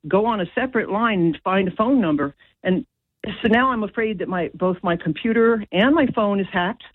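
A woman's voice: mid-range voice, lively intonation, normal speed, no echo. A woman phoning in to a radio show, giving an unscripted personal account over a muffled telephone line. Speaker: radio phone-in caller. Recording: muffled phone call on radio.

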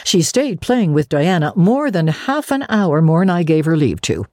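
A woman voicing a strict, sharp-tongued older woman who scolds briskly. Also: fairly low pitch, sing-song, dry recording, studio quality, pitched above the performer's own voice. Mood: stern.

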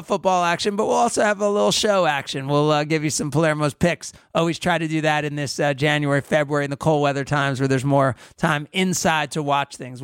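High-voiced male sports radio host. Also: clear, resonant tone, dry recording, studio quality. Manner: conversational, frequent "like" and "right?" fillers. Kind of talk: casual sports radio chat.

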